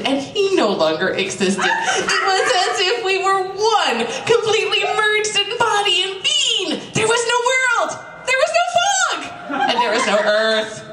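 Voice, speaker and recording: A woman reading live at a comedy show, theatrical and sarcastic, with mock-dramatic delivery and wry asides. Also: sits high. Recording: distant echoey room mic, loud background noise.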